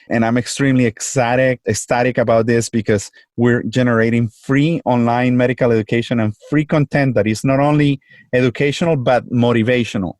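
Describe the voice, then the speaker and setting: A man's voice: medium pitch, a little mellow, no echo. A man hosting a podcast interview, speaking formally and effusively with emphatic phrasing. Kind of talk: formal, enthusiastic interview introduction.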